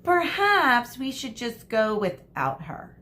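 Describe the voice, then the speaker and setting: A woman's voice: fairly high pitch, big pitch swings, takes it slowly, pushed and bright, voice pushed up, full character voice. A woman voicing a snide schoolgirl from a children's storybook, whining and mocking as she complains. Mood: whiny complaint. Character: snide, whiny schoolgirl tattling on a classmate.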